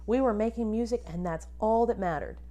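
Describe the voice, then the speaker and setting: A woman teacher reading a picture book aloud to children expressively, pausing to ask them questions and point things out. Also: a little high. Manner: animated, addresses young children with questions.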